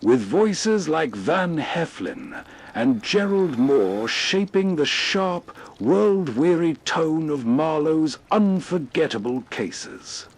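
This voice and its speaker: A man with a fairly high, husky voice hosts a late-night radio program. He reads scripted, atmospheric narration very slowly, setting the scene as a storyteller would. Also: big pitch swings.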